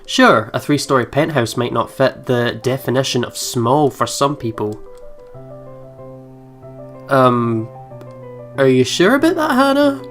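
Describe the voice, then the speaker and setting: A reactive video game host with a medium-pitched voice. The delivery moves between casual commentary and reading story text aloud. Faint game music sits behind the voice. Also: very animated, a little slow, long pauses. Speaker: let's play host. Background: soft game music.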